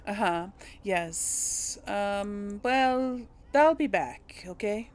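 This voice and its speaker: A woman's voice: medium pitch, expressive, drawn-out words, clear, resonant tone. A woman giving casual role-play video game commentary, thinking aloud in a hesitant, conversational way. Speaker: gaming video host and player.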